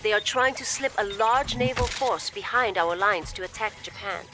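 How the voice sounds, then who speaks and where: A woman's voice: medium pitch, natural voice. Woman acting poised imperial briefing officer over music. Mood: formal, resolute. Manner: formal, measured, deferential. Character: poised, devoted female imperial logistics officer briefing commanders. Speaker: military intelligence and logistics officer. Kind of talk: scripted video game cutscene briefing. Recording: loud music behind the voice.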